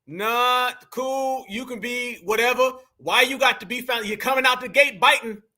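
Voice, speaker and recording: Emphatic high-voiced man commenting on clips. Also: moderate pace, sharp tone. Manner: emphatic, addresses viewers as family. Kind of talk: casual reaction commentary.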